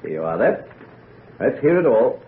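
Posh-mannered young skeptic in an old radio play, lively pitch swings, thin hissy sound. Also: male voice, normal speed. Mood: mocking. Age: young adult. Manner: well-bred, scoffing. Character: skeptical, mocking young gentleman of science. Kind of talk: old-time radio drama acting. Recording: noisy, tinny old radio recording.

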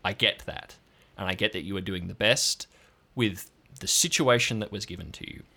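A man's voice: low-pitched, moderate pace, gravelly, sharp tone, heavily acted. Man playing a stern, blunt female ranger chief. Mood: earnest, commanding. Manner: abrupt, firm, authoritative. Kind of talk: improvised audio drama acting.

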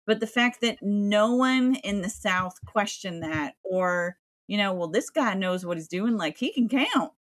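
A woman's voice: mid-range voice, animated, unhurried, hardly any pauses, dry recording. A woman co-hosting a casual film podcast, talking in a rambling way with many fillers. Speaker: podcast co-host.

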